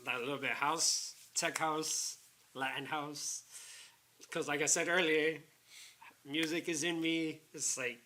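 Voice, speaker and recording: A man with a slightly raspy voice, talking casually as a guest DJ in an interview. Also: a little high, steady tone, slow pace, pushed and bright, slight background noise. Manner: casual, filler-heavy with "it's like".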